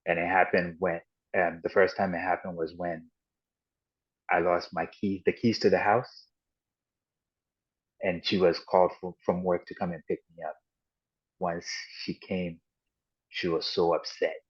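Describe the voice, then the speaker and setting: A man raised in Ghana and the United States, a podcast guest recounting his childhood in a halting, reflective way with frequent restarts. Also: low voice, flat delivery, husky. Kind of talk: personal storytelling in an interview. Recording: dull lo-fi audio.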